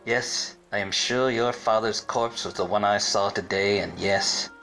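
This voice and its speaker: An actor playing a wise-cracking, obtuse hard-boiled private eye in a parody of 1940s detective radio plays, with wordy deadpan delivery. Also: male voice, on the low side, measured pace, creaky, faint music behind, full character voice, low-quality recording. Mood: exasperated. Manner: deadpan, wordy noir narration.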